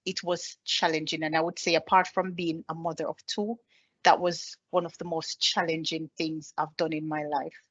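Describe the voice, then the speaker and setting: A woman's voice: low-pitched, level tone, normal speed, lo-fi sound. A woman in her thirties with a Jamaican accent, giving a personal presentation as an army staff sergeant, speaking plainly with many ums. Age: 30s. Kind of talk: presentation with slides.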